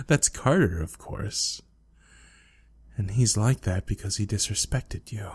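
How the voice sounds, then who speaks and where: A man playing an obsessive, lovesick male student in an ASMR roleplay drama, hesitant and stammering, shy yet menacing, with a soft breathy voice. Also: fairly low pitch, no echo, full character voice. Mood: cold, menacing. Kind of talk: ASMR roleplay audio drama, intimate acting.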